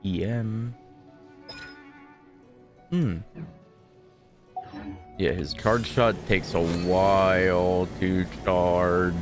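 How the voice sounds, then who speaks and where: Rustige, lage mannenstem bij het gamen. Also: male voice, sits low, drawn-out words, pauses often. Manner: relaxed, mumbling asides. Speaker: gaming streamer. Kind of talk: casual gameplay commentary. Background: game music.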